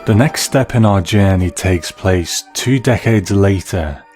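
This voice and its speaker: A man's voice: low-pitched, a little slow, darkish tone, soft background music. A man narrating a scripted video essay as a reviewer of film and television.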